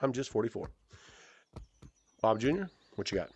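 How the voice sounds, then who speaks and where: A good-humored man in his forties chats through a fan Q&A while playing a VR game. He talks at an ordinary pitch and rambles, trailing into fillers and correcting himself mid-sentence. His voice comes through a headset mic. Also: sing-song, moderate pace, darkish tone, breathy edge, dry recording, lo-fi sound. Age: 40s. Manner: rambling and self-correcting, with many fillers and restarts. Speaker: gaming YouTuber answering fan questions.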